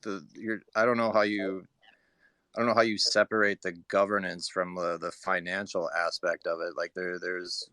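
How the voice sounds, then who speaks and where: Very deep, bright-edged man asking questions. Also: level tone, moderate pace, dry recording. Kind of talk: casual unscripted questioning. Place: live online audio room.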